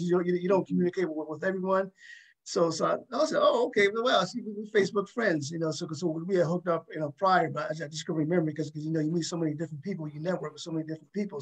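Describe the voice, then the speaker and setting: A man hosting a casual interview show, speaking in a halting way and often repeating his words. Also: high-pitched, breathy edge, poor audio. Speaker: talk show host.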